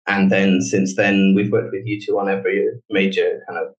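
A man, the guest, explaining his work in a relaxed, conversational way during an interview. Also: sits low, normal speed, reverberant, dull audio. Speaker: guest, technology director.

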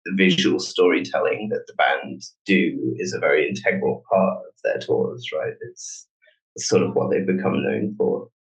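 A man, the guest, explaining his work in a relaxed, conversational way during an interview. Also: sits low, normal speed, echoey room, highs cut off. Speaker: guest, technology director.

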